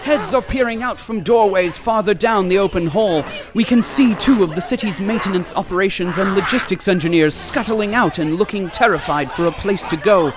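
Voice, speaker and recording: An actor playing a theatrical radio narrator who delivers ornate, melodramatic horror commentary. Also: male voice, high-pitched, normal speed, few pauses, close to an everyday voice. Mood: alarmed. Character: theatrical male radio narrator, dramatic and urgently horrified. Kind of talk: old-time radio style horror commentary. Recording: music bed under the voice.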